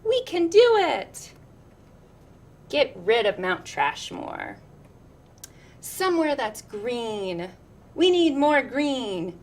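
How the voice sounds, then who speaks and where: Woman voicing high, eager campaigning schoolkids. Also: very animated, extremely slow, pauses often, darkish tone, heavily acted. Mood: eager, pleading. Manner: pleading, chanting.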